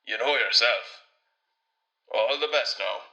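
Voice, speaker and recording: Guy playing awkward irish handyman leaving a voicemail, hesitant n roundabout, very sharp lowish voice, echoey room. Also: takes it slowly, creaky, dull audio, heavily acted. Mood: awkward concern. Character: neighbourly Irish tradesman, awkwardly breaking worrying news. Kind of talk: radio comedy-drama voicemail monologue.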